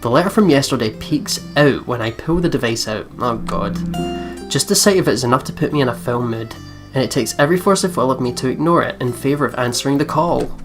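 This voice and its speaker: A woman's voice: rumbling low, expressive, quick pace. Young brit woman doing a lets play, reads the text out, chatty, bit of music under it. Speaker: let's play host. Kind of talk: reading game text aloud with casual commentary. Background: soft game music.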